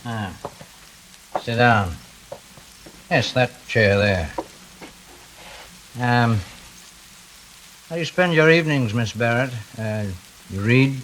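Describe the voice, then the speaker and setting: A cold, commanding male intruder in an old radio thriller. He speaks with formal, mocking courtesy and quiet menace, in a mid-range voice. The vintage recording has a light hiss. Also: medium pitch, takes it slowly, pauses often, rough recording. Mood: uneasy politeness. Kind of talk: 1940s radio acting.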